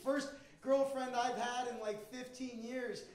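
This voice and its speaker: Stand-up comic, male, middle-aged, American, very high-pitched, roomy club sound with audience. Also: level tone, a little slow. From Miami, Florida, United States. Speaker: stand-up comedian and magician. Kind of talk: stand-up comedy routine. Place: indoor comedy club stage. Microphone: handheld microphone. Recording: echoey comedy club with audience. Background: live audience.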